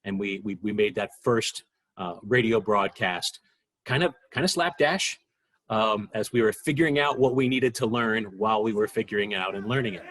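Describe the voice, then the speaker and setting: A man talks reflectively in a documentary interview. His voice sits fairly low and has a husky grain. He is earnest and deliberate, often repeating a phrase for emphasis. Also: fairly bright tone.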